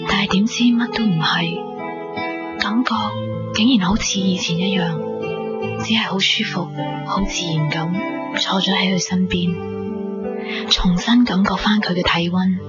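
A woman acting a tender, reflective young woman in love in a Cantonese radio drama, with a Hong Kong accent and a soft, intimate delivery. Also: medium pitch, fairly even intonation, unhurried, long pauses, lightly acted. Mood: wistful, intimate. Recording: dull lo-fi 1990s radio, loud guitar music.

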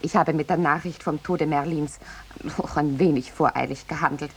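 Ruthless female journalist, 1950s radio play: low, husky, very animated, insistent and theatrical. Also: normal speed. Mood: coaxing. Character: brazen, scheming woman newspaper reporter, ruthlessly self-serving. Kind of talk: 1950s German radio satire acting.

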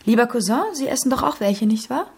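A woman's voice: fairly high pitch, expressive, a little fast, gravelly, darkish tone, husky, exaggerated voice. A woman playing a sweet, eager young daughter in a radio drama, speaking politely and tenderly. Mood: eager, coaxing.

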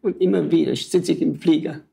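A man's voice: on the high side, steady tone, a little fast, creaky, dark tone, slightly rough. A man, a missionary preacher and native German speaker, talking with emotional, urgent exhortation in a conversation.

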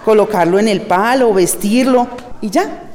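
A woman's voice: medium pitch, measured pace, darkish tone, slight background noise. Colombian woman in a reverberant space. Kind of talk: explaining a craft step by step. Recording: long hall-like reverb.

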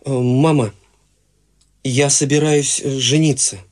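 An actor playing a shy, awkward young man in a studio radio play, speaking gently and haltingly. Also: medium pitch, steady tone, natural voice. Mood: sheepish. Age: young adult. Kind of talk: studio radio drama acting.

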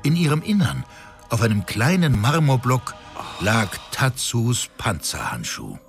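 A man's voice: medium pitch, big pitch swings, a little slow. A man narrating a fantasy audio drama in a dramatic scripted style. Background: music.

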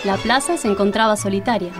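A woman's voice: mid-range voice, fast. A woman reading scripted narration for a radio drama. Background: music.

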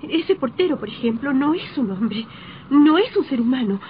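An actress playing a terrified, pleading young wife in an old radio drama, breathless and melodramatic. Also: female voice, sits high, lively intonation, normal speed. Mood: fearful. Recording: muffled old radio recording, clear noise.

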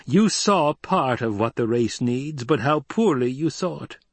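A man voicing a defiant, coldly angry young heir in a forceful, imperious, declamatory way. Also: mid-range voice, expressive, a little slow, no room sound, pitched above the performer's own voice. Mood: commanding fury.

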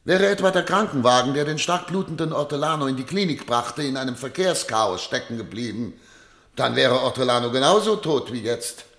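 An actor playing an eloquent, unyielding public prosecutor in a German radio play, with emphatic, formal argument. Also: male voice, mid-range voice, lively intonation, brisk, a bit bright. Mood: indignant, forceful.

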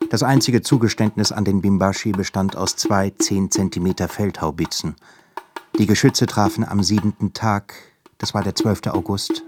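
A man performing as a measured, literary narrator in a scripted radio drama. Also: fairly low pitch, noisy background, lightly acted. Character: measured male narrator of an epic novel. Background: prominent music.

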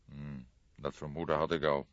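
A man voicing a calm, sombre old police inspector in an audiobook, speaking in a measured, thoughtful way. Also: very deep voice, dry recording, dull audio.

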